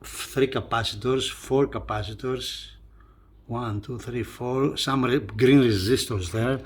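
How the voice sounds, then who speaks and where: A man presenting a hi-fi review in a casual hands-on style, talking haltingly and repeating phrases as he points things out. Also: mid-range voice, fairly even intonation. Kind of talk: casual hands-on video commentary.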